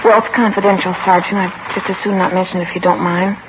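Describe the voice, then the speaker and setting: A woman's voice: lowish voice, flat delivery, quick pace, lightly acted. An actress playing a young woman gift wrapper who evasively answers police questions, in 1950s radio drama style. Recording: dull old radio broadcast with hiss.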